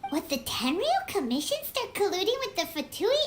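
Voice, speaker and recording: A woman's voice: extremely high pitch, sing-song, normal speed. A woman voicing a perky, nosy little floating fairy girl companion in an anime game. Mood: suspicious, musing. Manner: refers to self in third person, exclamatory. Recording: noisy live-stream capture.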